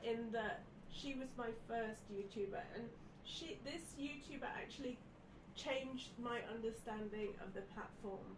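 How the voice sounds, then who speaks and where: A woman's voice: a little high, unhurried. A woman, snuffly with a head cold, chatting casually as a podcast guest. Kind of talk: casual chat from notes. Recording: echoey, distant mic, clear background noise.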